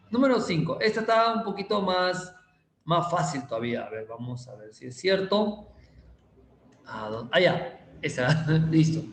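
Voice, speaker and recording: A male chemistry teacher, giving a casual, playful class and teasing students as he slowly repeats quiz questions. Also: sits high, slight background noise. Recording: roomy echo.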